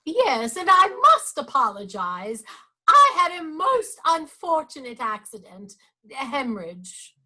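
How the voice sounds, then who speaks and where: High voice, slow, injured female investigator, theatrical, evasive, insistent, radio drama. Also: big pitch swings, sharp tone, exaggerated voice, poor audio. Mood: apologetic, strained. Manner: emphatic, theatrical, deliberate. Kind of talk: radio play acting.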